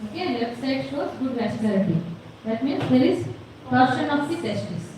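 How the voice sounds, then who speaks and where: A woman's voice: sits high, expressive, normal speed. A woman with a South Indian accent giving a medical lecture as a teacher, asking questions and explaining the answers. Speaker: radiology lecturer. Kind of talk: medical lecture quizzing students. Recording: very echoey room, distant mic, faint noise.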